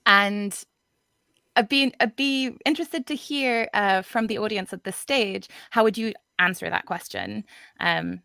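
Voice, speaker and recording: A woman's voice: medium pitch, unhurried, creaky, pushed and bright, no echo, studio quality. A woman moderating a livestreamed panel over a video call, talking casually and hesitantly with many fillers. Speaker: podcast co-host and event moderator.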